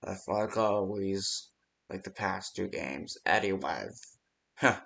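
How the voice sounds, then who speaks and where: A man's voice: sits low, takes it slowly, vocal fry, fairly bright tone. A man giving casual, improvised phone-call voice lines for a game, hesitant and rambling with many ums. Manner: casual, unpolished delivery. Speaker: phone-call guide in a fan horror game.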